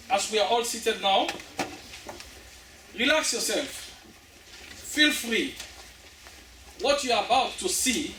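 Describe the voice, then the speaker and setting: A man from Sierra Leone, chairman of a theatre group, welcoming an audience before a play with a halting delivery full of fillers. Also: sits high, bright, forceful tone, slight background noise. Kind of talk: public welcome address. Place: school hall. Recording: echoey hall from far off.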